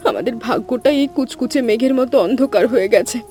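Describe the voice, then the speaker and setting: A woman plays a tender young village wife in a cartoon, her voice high but mellow and warm. She pleads softly and anxiously with her husband. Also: fast, close to an everyday voice. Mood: pleading. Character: gentle devoted young fisherman's wife. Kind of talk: cartoon voice acting.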